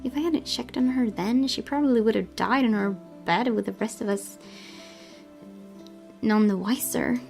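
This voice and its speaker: Woman narrating over clear music. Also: fairly high pitch, moderate pace, a little mellow. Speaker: gaming channel host reading aloud. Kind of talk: visual novel narration, read aloud.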